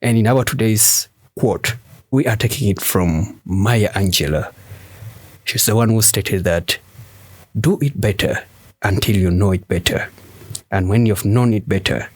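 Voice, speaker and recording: A man hosting a podcast, delivering a scripted motivational monologue in a slow, emphatic, preacher-like way with lots of repetition. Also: fairly low pitch, no echo. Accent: West African English. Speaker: podcast host.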